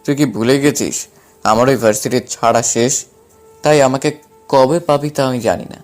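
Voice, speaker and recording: A man's voice: medium pitch, fairly quick, faint music behind, close to an everyday voice. A man playing a confident, defiant young romantic hero in an audio drama. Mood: defiant, taunting. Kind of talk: dramatised audio story dialogue.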